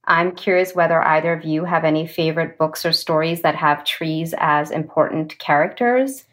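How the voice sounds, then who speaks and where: A woman hosts a podcast from her home office, speaking over a video call. She asks short, curious questions and keeps her guests talking with steady encouragement. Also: low voice, normal speed. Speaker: podcast host. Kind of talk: friendly interviewing over a call.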